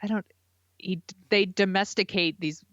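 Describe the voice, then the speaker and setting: A woman's voice: medium pitch, fairly even intonation, a little slow, fairly bright tone, dry recording, dull audio. A woman co-hosting a podcast in casual, reactive chat, with a blunt, informal, sweary way of talking. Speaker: podcast co-host.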